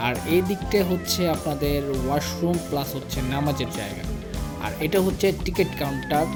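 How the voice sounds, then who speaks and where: A Bangladeshi man hosts a travel vlog in a slightly high male voice. He explains each stop directly to his viewers as a guided tour. Music plays clearly behind him. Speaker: vlog host.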